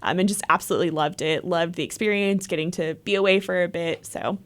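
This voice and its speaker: A woman's voice: on the low side, steady tone, quick pace. A young woman from Texas, a guest chatting casually in an interview, friendly and agreeable, with lots of "like" and "um". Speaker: guest, leadership program coordinator.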